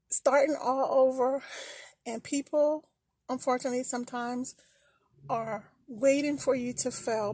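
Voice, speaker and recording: A woman vlogging motivational tips in an encouraging, slow and measured way, filmed on a phone while out on errands. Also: fairly high pitch, darkish tone, no echo. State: slightly hoarse. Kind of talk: encouraging casual vlog talk.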